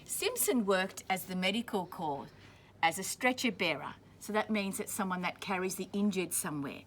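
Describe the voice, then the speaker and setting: A woman's voice: mid-range voice, lively intonation, a bit bright, slight background noise. Woman reading a story to kids. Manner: pauses to explain words simply. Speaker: school staff member reading aloud. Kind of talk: reading a picture book to children.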